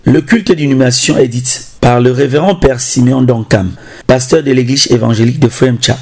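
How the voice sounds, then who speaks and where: Husky-voiced man narrating a documentary. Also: mid-range voice, a little mellow, faint music behind. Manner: measured, formal voice-over. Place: voice-over.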